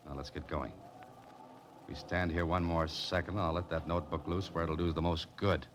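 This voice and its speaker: An actor playing a wry, wisecracking amateur sleuth in a clipped, sardonic 1940s radio drama style. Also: male voice, low-pitched, level tone, normal speed, noisy background, natural voice. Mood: sardonic needling. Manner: sardonic, hard-boiled, clipped. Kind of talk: 1940s radio drama acting, first-person narration. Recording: old radio broadcast with music.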